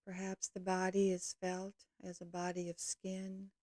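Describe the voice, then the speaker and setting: A woman leading a guided yoga nidra meditation, speaking calmly and gently in slow, measured short phrases. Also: lowish voice, dry recording.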